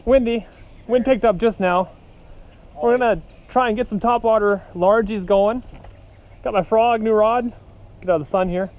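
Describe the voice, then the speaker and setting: A man's voice: high-pitched, moderate pace, long pauses, a little mellow, muffled recording. A man vlogging in casual, informal angler slang, recorded on an action camera. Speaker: fishing vlogger. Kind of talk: casual vlog chat. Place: outdoors on a lake in a kayak, later inside a vehicle. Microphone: action camera worn on a hat, later mounted in the vehicle.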